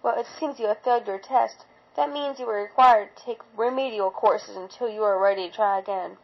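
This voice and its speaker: A woman voicing a cruel, all-knowing princess mare in a storybook reading, slow and imperious. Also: medium pitch, slightly rough, highs cut off, voice pushed down. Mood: cold, commanding.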